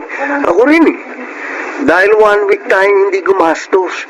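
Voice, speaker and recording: High-voiced, sly Filipino schoolboy. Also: male voice, expressive, measured pace, noisy background. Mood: smug, scheming. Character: sly, mocking young male student, peer-pressuring schemer. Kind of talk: Filipino radio drama acting.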